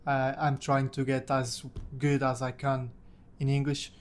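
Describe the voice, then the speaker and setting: A man's voice: medium pitch, little pitch movement, a little slow. A young man from Portugal, a native Portuguese speaker, casually telling a personal story about himself in English. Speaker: language-learning content creator.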